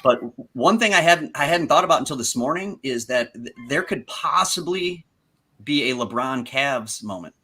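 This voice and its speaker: A man's voice: mid-range voice, lively intonation, moderate pace, sharp tone, breathy edge. A young man hosting a live stream, chatting enthusiastically and praising his guest. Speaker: stream host. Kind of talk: casual live stream chat.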